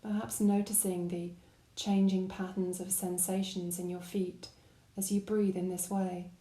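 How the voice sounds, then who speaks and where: A woman leads a guided meditation in a dark, mellow voice. Her phrasing is gentle and calm, offering unhurried instructions. The recording is roomy, with audible reflections around her voice. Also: lowish voice. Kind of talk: guided body scan meditation narration.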